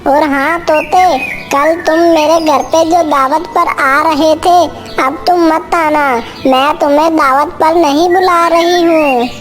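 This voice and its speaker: A woman's voice: high-pitched, fairly even intonation, slow. A woman voicing a small female bird, earnest and easily indignant, in a children's cartoon story, with music behind. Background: faint music.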